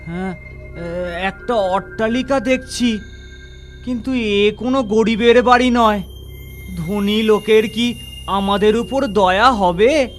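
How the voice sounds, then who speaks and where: A performer playing a poor, cautious male wanderer in a children's cartoon fairy tale, with an anxious, halting manner. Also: medium pitch, soft background music, lightly acted.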